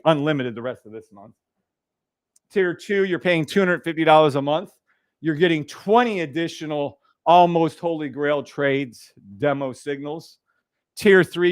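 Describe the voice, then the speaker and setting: A loud man hosts a trading livestream, blunt and profane, often laughing at his own jokes. His voice sits a little high, close and dry on a boom mic. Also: clean tone, no echo. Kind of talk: casual livestream chat.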